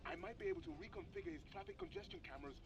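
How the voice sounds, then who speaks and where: A loving, middle-aged scientist father in a game audio drama. His voice is high for a man, with an earnest, protective delivery. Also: wildly swooping pitch, very fast, gravelly, exaggerated voice. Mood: concerned.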